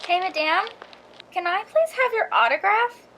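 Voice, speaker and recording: A woman's voice: extremely high pitch, animated, unhurried, pushed and bright, slight background noise, exaggerated voice, low-quality recording. A woman playing an eager, insistent young boy hunting for autographs in a radio drama.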